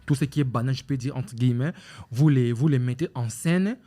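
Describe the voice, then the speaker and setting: Halting Congolese man hosting a talk show. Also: medium pitch, warm tone. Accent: Congolese French. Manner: formal, repetitive, halting with many fillers and restarts. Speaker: show host.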